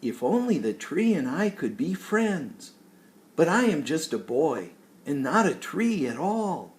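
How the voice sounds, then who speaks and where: A man's voice: on the high side, big pitch swings, a little slow. A man voicing an earnest, shy young boy in a storybook, speaking a little hesitantly. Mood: wistful. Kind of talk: storybook character voice.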